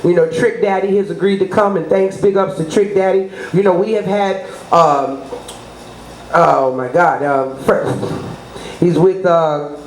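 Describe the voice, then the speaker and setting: A woman's voice: on the low side, measured pace, darkish tone. A middle-aged woman giving promotional interview talk as a radio personality, emphatic and boastful, often checking that the listener understands. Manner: emphatic, boastful, frequent rhetorical check-ins. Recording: roomy echo with clear background noise.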